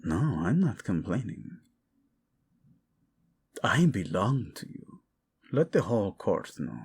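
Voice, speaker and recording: A man's voice: on the low side, big pitch swings, slow, a little mellow, slightly rough, heavily acted. A man acting a passionate, protective male royal in a romantic audio drama, devoted to his queen, intimate and commanding, using Spanish endearments. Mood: tender, teasing. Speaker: voice actor. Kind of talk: romantic audio roleplay drama addressed to the listener.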